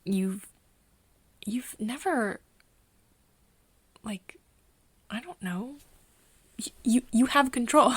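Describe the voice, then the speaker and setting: A woman acts out a hesitant, tender young woman in an ASMR roleplay drama. Her breathy voice crackles with fry and often sinks to a whisper. She talks slowly and haltingly, as if to a close friend. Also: on the high side, dry recording, heavily acted. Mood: nervous, teasing. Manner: halting, hesitant, with nervous giggles. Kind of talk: soft ASMR roleplay acting addressed to the listener.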